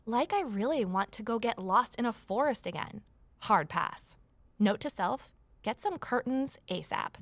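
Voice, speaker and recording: A woman's voice: fairly high pitch, expressive, measured pace, no echo. An actress playing a wry, candid woman starting over, narrating her own story in an audio drama with self-deprecating asides. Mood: irritated, wry. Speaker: lead character and first-person narrator. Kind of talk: scripted first-person audio drama narration.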